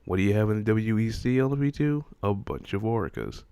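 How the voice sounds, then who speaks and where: A man with a fairly low voice delivers casual, opinionated commentary as the host of a video. His manner is exasperated and full of rhetorical questions, and the sound is dry and close. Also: very animated, a little fast, mellow, clean tone, dry recording.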